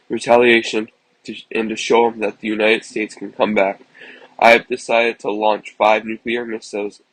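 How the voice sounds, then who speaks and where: A man playing a solemn US President giving a measured, halting, formal emergency address to the nation. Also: lowish voice, breathy edge, no room sound, rough recording.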